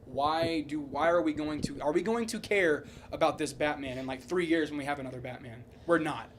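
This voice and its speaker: A man's voice: a little high, fairly quick, slight background noise. A man co-hosting a podcast in casual, heated debate, opinionated and repetitive, with casual swearing. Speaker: podcast co-host.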